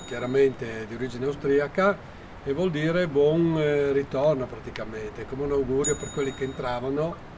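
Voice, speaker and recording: Older man explaining outdoors, loud noise. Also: medium pitch, moderate pace. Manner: plain, explanatory. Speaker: local guide.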